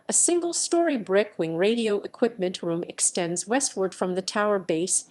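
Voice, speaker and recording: A woman reads scripted reference material as a narrator. Her voice sits a little lower than most women's. The delivery is formal and impersonal, in the style of an encyclopedia entry.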